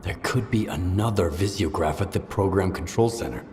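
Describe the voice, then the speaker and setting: An actor playing a serious, determined stalker in a video game, terse and plain-spoken. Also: male voice, deep voice, normal speed, natural voice. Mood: serious, probing. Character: serious, determined male stalker questioning others. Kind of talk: video game cutscene acting. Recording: reverberant hall, clear background noise.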